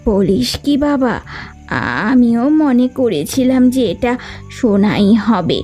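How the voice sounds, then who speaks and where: Woman as a homely, animated village mother. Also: fairly high pitch, takes it slowly, soft background music. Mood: confiding, hopeful. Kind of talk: Bengali cartoon voice acting.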